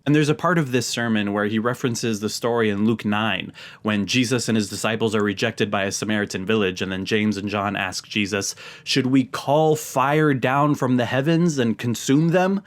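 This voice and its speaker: A man's voice: on the low side, normal speed, few pauses. An American man speaking earnestly and emphatically, as a host giving religious commentary straight to his viewers. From United States. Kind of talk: earnest talk to camera.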